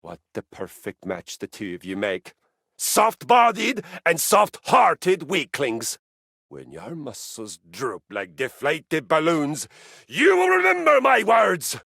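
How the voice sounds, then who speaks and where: Game casting: massive pompous warrior, scornful drill-master, gravelly and forceful, slow grand delivery. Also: male voice, on the high side, no room sound, studio quality, heavily acted. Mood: bitter disdain. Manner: bombastic, domineering, scornful. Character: huge pompous bearded warrior brute preaching painful exercise. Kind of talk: video game character acting.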